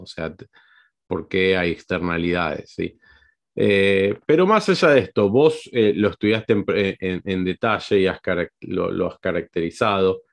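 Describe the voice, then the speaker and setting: A man with an Argentine accent hosting an interview, halting with frequent fillers and tag questions. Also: low voice, creaky. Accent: Argentine Spanish. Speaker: host.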